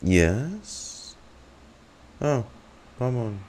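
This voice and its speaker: A man's voice: medium pitch, very animated, extremely slow, a little mellow, a little hiss or hum. A male game streamer chatting offhand in short bursts as he reacts to gameplay. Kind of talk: casual live gameplay reaction.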